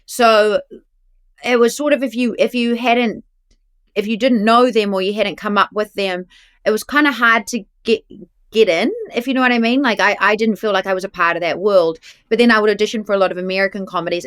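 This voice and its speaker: Kiwi woman chatting as a guest, lively stories, a bit sweary, middling voice. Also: medium pitch, brisk, clean tone. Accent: New Zealand. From New Zealand. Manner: lively storyteller, swears casually. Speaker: actress guest. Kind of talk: casual interview chat.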